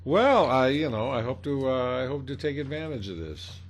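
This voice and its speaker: A man's voice: medium pitch, sing-song, normal speed, slight background noise. A man hosting a casual radio interview, joking and chatty with frequent fillers. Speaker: radio host.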